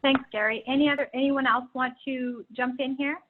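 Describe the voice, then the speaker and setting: A woman moderating a panel discussion, brisk and polite as she passes the floor. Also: sits high, fairly even intonation. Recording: tinny phone-like line.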